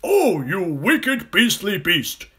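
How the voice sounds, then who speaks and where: Big scolding male elephant for a storybook man reading, raised pitch, blustery, loud and very animated. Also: slow, full character voice. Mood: outraged.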